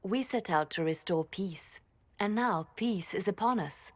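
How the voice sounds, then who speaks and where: An actress playing a composed, devoted female spymaster and adviser in a fantasy game, calm, deliberate and formal. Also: medium pitch. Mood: solemn, sincere. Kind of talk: dramatic fantasy game acting.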